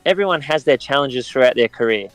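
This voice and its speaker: An Australian man in his thirties, a professional footballer answering interview questions in a measured, reflective way. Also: mid-range voice, animated, a bit bright, no echo, soft background music, poor audio. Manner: measured and reflective, many restarts.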